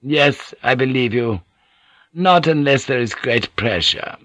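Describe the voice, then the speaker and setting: A shrewd, deferential woman of old Japan, voiced by a male narrator in a historical audiobook. The pitch is lifted and the tone bright, with insistent, humble courtesy. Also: animated, slow, no room sound. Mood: courteous. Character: shrewd, deferential Japanese businesswoman pleading with a lady.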